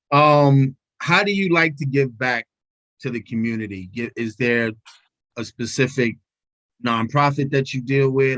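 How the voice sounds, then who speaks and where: A man's voice: medium pitch, a bit bright, muffled recording. A man hosting a casual interview in an agreeable, laid-back way. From New York City, Queens. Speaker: interviewer, host.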